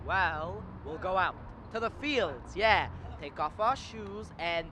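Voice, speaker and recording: A young actor playing a restless, kind-hearted man in a radio drama, halting and wry in delivery. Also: mid-range voice, expressive. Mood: restless, dreamy. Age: young adult. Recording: faint background noise.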